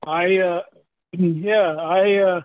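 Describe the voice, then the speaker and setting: A man from Ethiopia who runs a restaurant, introducing himself haltingly with many fillers on a call. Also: sits high, fairly even intonation, no room sound. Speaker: restaurant owner. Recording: tinny phone line.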